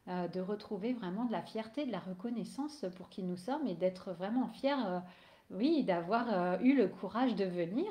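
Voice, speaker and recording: A woman's voice: medium pitch, dark tone, roomy sound. A woman with a cold who is losing her voice, an energy healer talking casually on a live stream, hesitant with many fillers and restarts.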